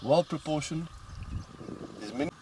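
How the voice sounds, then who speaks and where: A man's voice: on the high side, a little slow, background noise. A man from South Africa with a South African accent, giving a chatty, joking guided commentary from an open safari vehicle outdoors.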